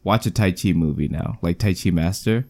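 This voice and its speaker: Male podcast co-host, lowish voice, quick animated film-review chatter. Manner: animated, quick bursts, joking asides. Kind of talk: casual film review chat.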